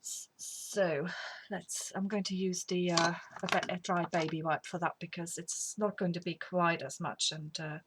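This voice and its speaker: Lady with a cold doing a crafting tutorial, kinda rambly, lower voice, faint background hiss. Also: steady tone, normal speed, rough recording. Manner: rambling, chatty, drops to whispers while working. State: slightly sniffly from a cold. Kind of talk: casual craft demonstration.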